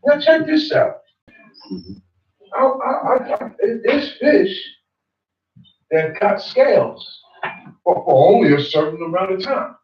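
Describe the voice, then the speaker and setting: Guy explaining bible verses, kinda high voice, echoey far-off room sound. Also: very animated, takes it slowly, pauses often. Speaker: religious teacher. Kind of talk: scripture teaching. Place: small study room with bookshelves, indoors. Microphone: far room camera. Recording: echoey, distant, dull room recording.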